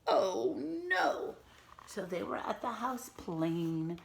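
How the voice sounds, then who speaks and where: A woman teacher reading a picture book aloud to young children, pausing to explain words and ask simple questions in an instructive way. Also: medium pitch, sing-song, gravelly, slight background noise.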